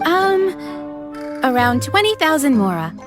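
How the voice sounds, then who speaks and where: A courteous young female server presents food with eager pride. The voice is high and lively in pitch, in anime game style, with soft music behind. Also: a little slow. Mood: polite. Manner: courteous, descriptive sales patter. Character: polite, eager young female restaurant server proud of dishes.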